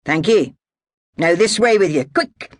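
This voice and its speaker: A narrator voicing a fierce young Scottish swordsman who speaks loudly and threateningly. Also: medium pitch, lively intonation, unhurried, no room sound, clean studio sound, pitched above the performer's own voice, lightly acted. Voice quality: loud, snarling, threatening.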